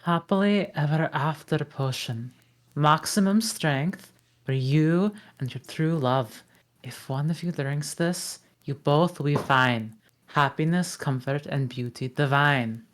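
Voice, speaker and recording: A woman reading a gruff male ogre in love at a table read, low and gruff. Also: takes it slowly. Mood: musing.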